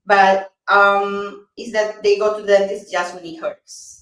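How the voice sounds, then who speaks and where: A woman's voice: mid-range voice, little pitch movement, measured pace, a bit bright, distant mic. A Peruvian woman in her thirties speaks earnestly with a Spanish accent, restarting her phrases as she goes. She sits in a small office on a video call. Her voice sounds roomy and set back from the mic. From Peru. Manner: earnest, many restarts and fillers. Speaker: dentist, guest. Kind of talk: casual interview chat. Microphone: video call webcam.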